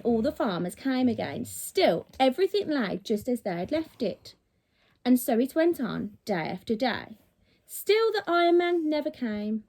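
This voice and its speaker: A woman with a high voice reads a storybook aloud for children. Her delivery is very lively, swinging up and down in pitch, and she leans on key words for dramatic effect, as a teacher would for a class. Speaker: teacher reading a storybook to pupils. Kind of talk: expressive children's story narration.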